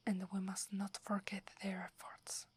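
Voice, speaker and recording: A woman's voice: mid-range voice, completely flat delivery, measured pace. A woman with an Italian accent voicing a devoted young female werewolf who defers to her alpha, in a whispered ASMR roleplay. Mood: earnest, reverent. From Italy. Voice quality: breathy whisper. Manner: hesitant, reverent, submissive. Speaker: voice actress.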